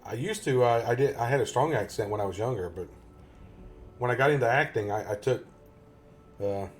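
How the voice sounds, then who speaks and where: A man's voice: mid-range voice, animated, fairly quick, faint music behind. A man with a Tennessee Southern accent, chatting casually and jokingly with viewers during a game live stream. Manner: joking, rambling, chuckles often.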